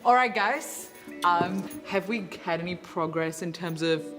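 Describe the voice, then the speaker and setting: Low woman's voice, doc presenter leading a group, bit of music under it. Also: unhurried. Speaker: youth programme leader and presenter. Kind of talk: documentary narration and informal group leading.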